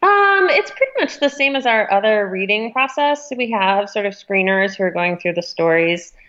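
A woman's voice: mid-range voice, expressive, moderate pace, fairly bright tone, lo-fi sound. A woman speaking as a magazine editor in a conversational interview, explaining things thoughtfully.

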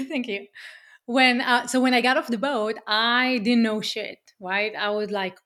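A woman with an Israeli accent, a podcast guest talking business in a lively, emphatic way, often tagging lines with "right?". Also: on the high side, a little slow. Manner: energetic, emphatic, keeps asking "right?", swears casually. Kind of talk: casual interview chat.

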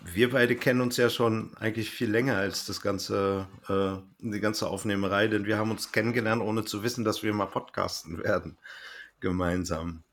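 Casual male podcast host, lowish voice. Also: fairly even intonation, moderate pace, fairly bright tone.

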